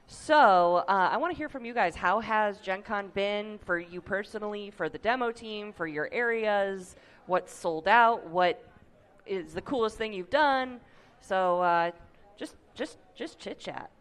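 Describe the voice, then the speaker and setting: A woman hosting a casual livestream chat, upbeat and quick to laugh, with a slightly tired voice. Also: medium pitch, expressive, fairly bright tone. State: tired voice, a little deep. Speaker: host.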